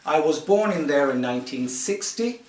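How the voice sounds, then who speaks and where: A man in his fifties from Tanzania, speaking to a group of children in a measured, explanatory way with pauses and fillers. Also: fairly high pitch, animated, a little mellow. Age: 50s. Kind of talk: informal talk to children. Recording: echoey room, distant mic.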